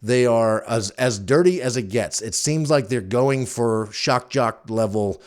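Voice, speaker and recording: A man's voice: medium pitch, unhurried, darkish tone. A man hosting a podcast on his own, talking casually in a rambling, joking and frank way. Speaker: podcast host. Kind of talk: casual solo podcast talk.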